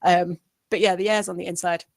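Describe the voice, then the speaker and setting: A woman's voice: lowish voice, fairly even intonation, a little fast, creaky, darkish tone, no room sound, clean studio sound. A woman giving informal spoken script feedback, explaining her points in a rambling way.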